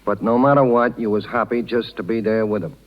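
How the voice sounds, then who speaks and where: A man's voice: on the low side, fairly even intonation, fairly quick, natural voice. A blunt, loyal circus canvas man played by a male actor talks in slangy, working-class 1930s radio style. He is protective and plain-spoken. The sound is the dull band of an old broadcast. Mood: earnest, tender. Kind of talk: 1930s radio drama acting.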